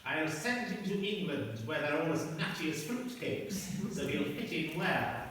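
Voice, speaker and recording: A pompous, scheming king, commanding and brisk, played by a man. The voice is bright and sharp and swoops up and down. It is recorded in an echoey hall from a distance. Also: fairly high pitch, sing-song. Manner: pompous and plotting. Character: scheming king uncle, middle-aged man. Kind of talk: amateur radio play acting.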